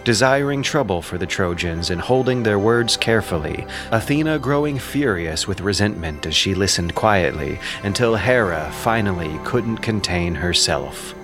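A man narrating a scripted ancient epic as a measured, solemn storyteller. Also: fairly low pitch, animated. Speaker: narrator and adapter. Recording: music clearly behind the voice.

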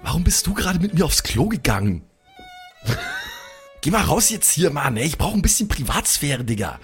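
A man's voice: a little high, sing-song, moderate pace, soft background music, pitched above the performer's own voice. A man playing a weary, irritable young slacker musician in an improvised roleplay audio drama, drawling street slang mixed with English. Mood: irritated.

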